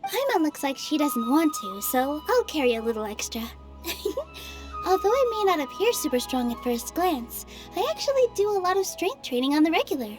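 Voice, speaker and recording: A kind, cheerful young female dancer in a video game. Her voice sits high and she sounds warm and reassuring. Faint game music plays underneath. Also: very animated, moderate pace. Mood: gentle.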